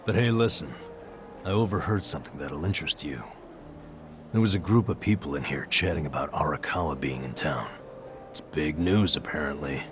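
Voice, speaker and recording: A laid-back video game sidekick with a very low voice. He talks in casual, wry slang with a teasing edge. Music is clearly heard behind him. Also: moderate pace. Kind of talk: video game cutscene acting.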